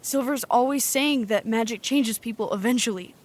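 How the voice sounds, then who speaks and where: A woman's voice: fairly high pitch, moderate pace, lightly acted. An actress playing an anxious, questioning traveler in an audio drama, earnest and hesitant in the way she talks. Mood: uneasy, earnest. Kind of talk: naturalistic audio drama acting.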